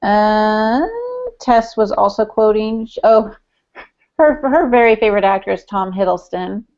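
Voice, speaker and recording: A woman's voice: mid-range voice, measured pace, darkish tone, dull audio. A woman co-hosting a podcast, talking in an informal, chatty way. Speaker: podcast co-host relaying audience chat. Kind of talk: casual podcast chat.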